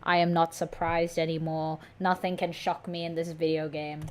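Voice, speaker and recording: A woman's voice: sits low, flat delivery, normal speed, darkish tone. Woman streamer, chatty and sarcastic, noisy mic. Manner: sarcastic, exclaiming, chatty reactions. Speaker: gaming streamer. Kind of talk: casual gameplay commentary.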